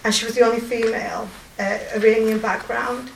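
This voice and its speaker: Yorkshire woman, emotional halting testimony. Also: on the high side, fairly even intonation, vocal fry, roomy sound, recorded from a distance, slight background noise, poor audio. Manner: halting, emotional, many fillers and restarts. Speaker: volunteer. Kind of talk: personal testimony.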